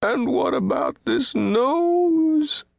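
Storybook outcast creature: very high-pitched, self-pitying, mournful, wildly swooping melodramatic lament. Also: male voice, lively intonation, creaky, darkish tone, no room sound, clean studio sound, full character voice. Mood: wounded self-pity. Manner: theatrical howling and sighing, drawn-out lament. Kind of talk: storybook character voice.

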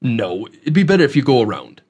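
A man's voice: medium pitch, sing-song, a little fast, a little mellow, breathy edge. A man narrating an audiobook, voicing a scruffy, blunt old hermit who talks in a matter-of-fact rustic dialect. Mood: warning.

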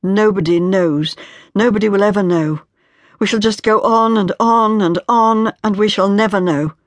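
A woman's voice: normal speed, dry recording, close to an everyday voice. Woman voicing a tormented young wife. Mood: anguished. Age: 20s. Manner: pleading, tormented, rising to a high strained pitch. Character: anguished young woman, desperate, grief-stricken. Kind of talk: audiobook character voice.

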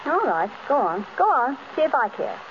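A woman's voice: on the high side, big pitch swings, normal speed, highs cut off. An actress playing a playful, spirited wealthy wife in a 1930s radio drama, scolding her husband in jest. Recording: scratchy 1930s radio broadcast.

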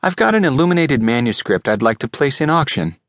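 A man's voice: medium pitch, sing-song, brisk, a bit bright, dry recording, pitched above the performer's own voice. A man plays an anxious male customer in an audiobook. He sounds insistent and disbelieving, pushing for answers, in a voice recorded close and dry. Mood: businesslike. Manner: pleading, insistent. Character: Gideon, an anxious, disbelieving male manuscript seller. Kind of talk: audiobook character voice.

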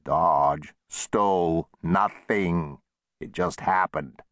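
A man's voice: extremely low pitch, measured pace, no room sound, exaggerated voice. A man voicing a wry, easygoing old frontier saloon owner with folksy, plain-spoken talk in a western audiobook. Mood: dry, exasperated.

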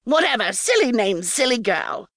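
A woman's voice: fairly high pitch, sing-song, normal speed, fairly bright tone, no room sound, clean studio sound, pitched above the performer's own voice. A woman voicing a wily, coarse, mean-tempered old hag in a storybook, brash and scornful. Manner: brash, scornful, talks with mouth full.

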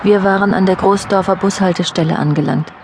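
Woman as an even-toned, introspective narrator. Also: medium pitch, brisk, slight background noise, close to an everyday voice. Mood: reflective. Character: reflective female narrator addressing an absent man. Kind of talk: scripted audio drama narration.

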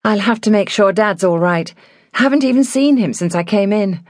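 An anxious young gentlewoman taking charge , played by a woman reader in a novel. She is brisk and well-spoken with worry in her voice, recorded very dry and close. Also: mid-range voice, expressive, dry recording, pitched above the performer's own voice, natural voice. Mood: worried.

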